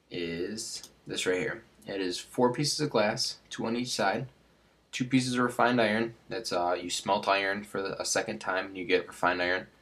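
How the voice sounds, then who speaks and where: Stuffy-nosed young man, low voice. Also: a bit bright. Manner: rambling, frequent uh fillers and restarts. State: stuffed-up nose. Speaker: Minecraft tutorial host. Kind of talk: casual gameplay tutorial.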